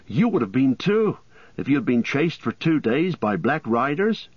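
A man's voice: mid-range voice, very animated, normal speed, breathy edge, dry recording, highs cut off, voice pushed up. A man voicing a cheerful, talkative young male hobbit in a storybook reading. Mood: telling.

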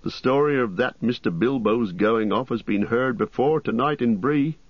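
A man's voice: mid-range voice, moderate pace, dry recording, lo-fi sound, voice pushed up, close to an everyday voice. A man voicing a fussy, flustered middle-aged innkeeper, chattering in rustic turns of phrase, in a storybook reading. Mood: anxious, helpful.

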